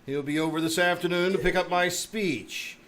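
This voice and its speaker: A man's voice: high-pitched, steady tone, normal speed, a bit bright. A man playing a pompous, easily flustered middle-aged school principal in an old-time radio comedy, blustering and hemming. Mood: huffy. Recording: noisy live stage recording.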